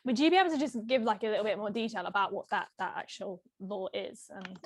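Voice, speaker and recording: A woman's voice: medium pitch, fast, no echo. Hesitant female interviewer, dry sound. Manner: hesitant, many fillers like "kind of". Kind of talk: casual interview questions.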